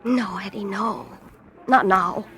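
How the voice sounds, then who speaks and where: A woman's voice: mid-range voice, slow pace, fairly bright tone, soft background music. An actress playing a hesitant, troubled young woman in love, wistful, in a 1940s radio drama. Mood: soft, wistful. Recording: old time radio show recording.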